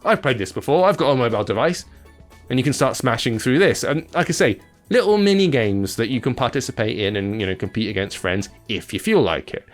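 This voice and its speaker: A man gives casual commentary over gameplay as a games presenter. His voice sits at an average pitch, and his delivery is chatty and informal, peppered with slang. Music plays faintly behind him. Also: animated, a little fast.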